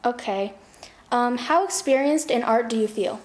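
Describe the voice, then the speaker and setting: A woman with a British accent narrating a documentary and asking interview questions in a measured, informative way. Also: fairly high pitch.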